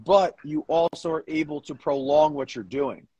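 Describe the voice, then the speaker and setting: A man's voice: on the high side, steady tone, moderate pace, dark tone, breathy edge, no room sound, dull audio. A man co-hosting a casual sports podcast as a coach, emphatic and given to swearing and repeating his phrases. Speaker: podcast co-host, powerlifting coach.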